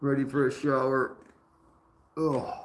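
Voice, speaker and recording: A man's voice: mid-range voice, level tone, unhurried. A man hosting a casual livestream from his garage. He greets chatters by name and talks loosely about effort. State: dirty after a day of work. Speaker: livestream host.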